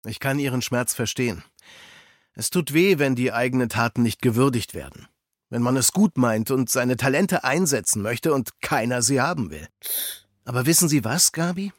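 A kind, persuasive man, played by an actor in a children's radio play. He is polite and encouraging, with a medium pitch that moves in a lively way, recorded dry and close. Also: normal speed, dry recording, close to an everyday voice. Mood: compassionate, encouraging.

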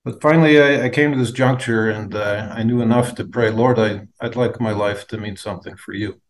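American man, 50s, lowish voice, measured and hesitant faith testimony as a guest. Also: steady tone, rough recording. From United States. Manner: measured, hesitant with frequent fillers. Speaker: guest, aid organization leader.

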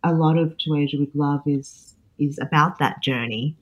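Interview guest: Australian chef, 40s, deep for a woman, reflective, hesitant. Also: moderate pace, highs cut off. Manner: thoughtful, many fillers and restarts. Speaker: guest, chef and cookbook author.

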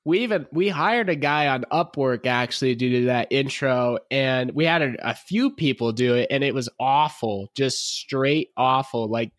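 A man in the middle pitch range hosts a casual chat, his voice a little rough and congested from being sick. He drawls in a relaxed way and keeps calling his co-host "man." The sound is dry and close, with no room around it. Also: normal speed, hardly any pauses, no echo. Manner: laid-back, drawls, says "man" often. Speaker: podcast host. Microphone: remote call, each on own mic.

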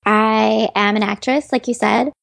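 A woman's voice: a little high, fairly even intonation, unhurried, vocal fry, no echo. A woman from Chicago, speaking as an actress guest in a casual interview, chatty and laughing often, with lots of "like" and "you know". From Chicago, US Midwest.

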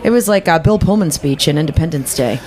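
A woman with a low voice co-hosts casually and speaks quickly. Her banter is wry and playful. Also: animated, darkish tone. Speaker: co-host.

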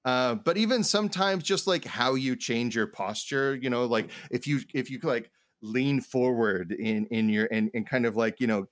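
A man co-hosting a casual advice chat about game mastering, halting and rambling as he thinks aloud. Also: medium pitch, dry recording, studio quality. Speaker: podcast co-host and game master.

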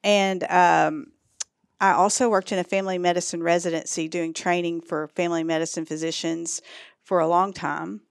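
A middle-aged woman from East Texas with a Texan accent, a guest in a relaxed, friendly interview who laughs lightly. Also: fairly low pitch, normal speed, vocal fry, clear, resonant tone, no room sound, studio quality. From East Texas, USA. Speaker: guest, social work school director. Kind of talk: casual interview chat.

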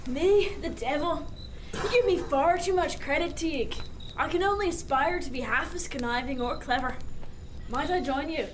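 A woman's voice: high-pitched, moderate pace, background noise, natural voice. A woman playing a roguish, unrepentant male sailor, eloquent and sly, in a period seafaring audio drama. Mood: teasing. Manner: eloquent, sly, old-fashioned wording.

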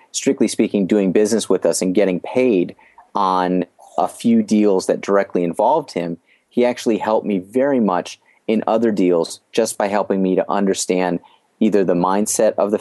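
A man speaking as an interview guest, explaining business lessons in a measured way, often restarting his phrases. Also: sits low, mellow, dry recording. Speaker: guest sharing business experience. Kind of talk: podcast interview storytelling.

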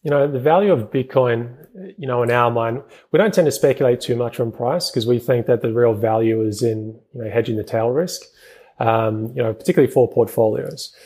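Dark, husky-voiced New man. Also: medium pitch. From New Zealand. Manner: rapid speech with many fillers and restarts. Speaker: guest, crypto investment executive. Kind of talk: interview answers.

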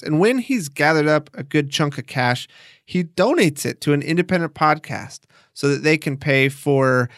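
A man's voice: mid-range voice, a bit bright, dry recording, studio quality. A man hosting a documentary podcast, narrating and interviewing in a casual, enthusiastic, conversational way.

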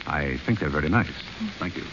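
An actor playing a dignified, reserved butler in a 1930s radio play, polite and deferential. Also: male voice, a bass voice, expressive, a little fast. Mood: courteous. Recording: muffled hissy 1930s radio broadcast.

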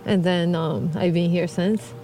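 A woman's voice: on the low side, fairly even intonation, a little slow, warm tone, soft background music. A woman from Japan with a Japanese accent, heavily pregnant, chatting hesitantly with many fillers as a guest in a hot tub. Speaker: guest, film composer. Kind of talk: casual conversation. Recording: faint background noise.

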